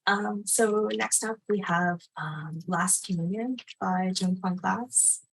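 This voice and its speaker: A woman's voice: mid-range voice, takes it slowly, gravelly, slightly rough, low-quality recording. A woman hosting a reading event informally, appreciative and a little hesitant as she introduces readers.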